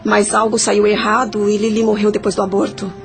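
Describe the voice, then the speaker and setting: A woman's voice: medium pitch, flat delivery, fairly quick, faint music behind, close to an everyday voice. An actress playing a bitter, guilty woman's spirit held captive, speaking dramatically in an audio drama. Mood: guarded, confessing.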